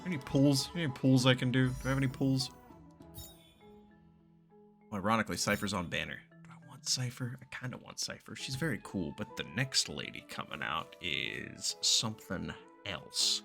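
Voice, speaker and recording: A man gives casual reaction commentary as a gaming host. His mid-pitched voice swings animatedly as he rambles in excitable, slangy speech. Faint music plays in the background. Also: normal speed, creaky.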